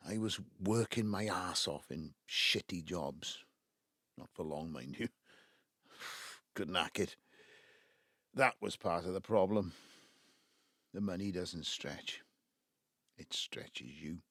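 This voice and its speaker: An actor playing an embittered, wistful Welsh father in his seventies, with a South Wales Valleys accent and a halting, reflective delivery in an audio drama. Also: a bit bright, husky, no room sound, clean studio sound, exaggerated voice. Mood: regretful. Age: 70s. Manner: halting, reflective, breaking into low muttering. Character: embittered ageing Welsh patriarch, wistful and self-justifying. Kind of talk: naturalistic audio drama monologue.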